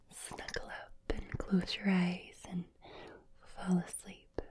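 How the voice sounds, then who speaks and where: A woman voicing a soft, calm Northern Irish woman with a sing-song lilt and breathy whisper, in an ASMR style. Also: mid-range voice, natural voice.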